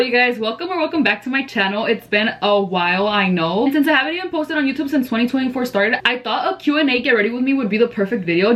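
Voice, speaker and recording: A woman's voice: mid-range voice, a bit bright. A nineteen-year-old woman chatting candidly while getting ready, answering viewer questions with lots of slang and "like". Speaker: vlogger. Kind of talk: casual get-ready-with-me Q&A chat.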